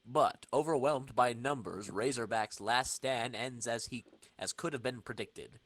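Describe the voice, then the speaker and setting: A man's voice: mid-range voice, unhurried, a bit bright, no room sound. A man co-hosting and narrating a joking amateur dramatic reading of a comic book, with banter. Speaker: podcast co-host and narrator.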